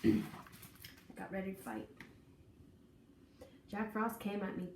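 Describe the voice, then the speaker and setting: A woman reading a children's picture book aloud in an expressive, folksy, dramatic storytelling manner. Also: a little high. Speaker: storybook reader. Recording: echoey room, distant mic, faint noise.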